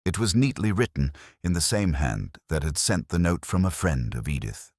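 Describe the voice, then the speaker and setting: Very deep-voiced male mystery narrator. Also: big pitch swings, normal speed, dry recording. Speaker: narrator of audio mystery story. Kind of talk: scripted storytelling narration.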